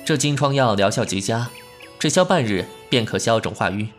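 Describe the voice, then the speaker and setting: A man playing a refined, caring but sharp-tongued young bandit leader in a Chinese period audio drama, speaking earnestly in literary phrases. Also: medium pitch, lively intonation, moderate pace, soft background music, lightly acted. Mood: cool teasing.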